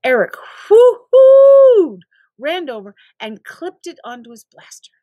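A middle-aged woman reading a children's book aloud in an expressive, animated, emphatic way. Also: very high voice, slow, no room sound, phone-quality sound. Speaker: read-aloud storyteller.

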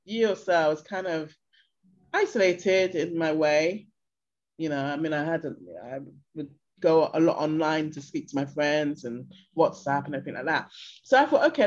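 Older woman chatting on a video call. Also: on the low side. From England. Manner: animated storyteller, acts out voices of locals. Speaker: interview guest. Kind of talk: casual chat. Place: bright room at home. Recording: dull lo-fi video call audio.

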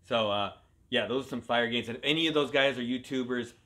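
A man in his thirties with a bright, forceful voice at an average pitch. He hosts casually from a living room, talking toward a camera a few feet away. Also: fairly quick. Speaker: host of a comic collecting show. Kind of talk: casual chat.

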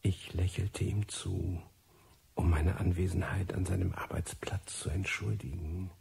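A reclusive, disillusioned narrator in a radio play. His voice is low and very husky, with gravel in it. He tells his story in a brooding, ironic manner. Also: flat delivery, unhurried, darkish tone, full character voice. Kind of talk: scripted first-person radio play narration.

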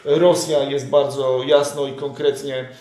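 A man's voice: mid-range voice, level tone, unhurried, mellow. Man, thirties, journalist interviewer, earnest, hesitant fillers, echoey room. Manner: earnest, frequent fillers and restarts. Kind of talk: political interview. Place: room with leather sofa, indoors.

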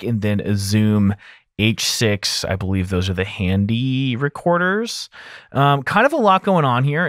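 A man in his thirties talks through a tutorial in a casual, conversational way. His medium-pitched voice is captured up close on a broadcast mic in a small, very dry home studio. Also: dry recording. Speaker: audio engineer hosting a mixing tutorial. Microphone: close broadcast mic on arm.

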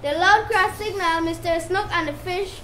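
A woman's voice: extremely high pitch, normal speed, fairly bright tone. A female narrator reading a scripted story for an amateur puppet show. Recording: roomy, noisy live puppet show.

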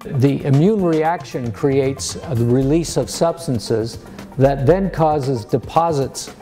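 An American man in his sixties, a surgeon explaining medicine to a lay audience in a measured, plain-spoken way. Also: mid-range voice, warm tone, soft background music. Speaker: surgeon giving a public lecture. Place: medium-sized lecture room.

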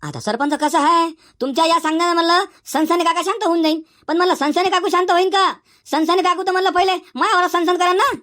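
A man's voice: very high voice, fairly even intonation, fast talker, bright, forceful tone, no echo, studio quality. A man voicing a feisty, henpecked old village uncle in a comedy cartoon, with a Varhadi Marathi accent and emphatic, repetitive phrasing. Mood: teasing, wry. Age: older.

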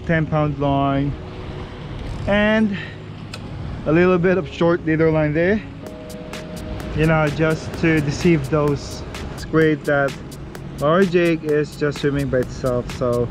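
A middle-aged man chatting casually as he fishes from a rocky breakwater, laughing and whooping when he gets excited, recorded on an action camera. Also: a little high. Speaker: fishing vlogger. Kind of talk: casual fishing vlog commentary. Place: outdoors on a rocky breakwater by the sea. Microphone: head-mounted action camera. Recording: wind and surf noise outdoors.

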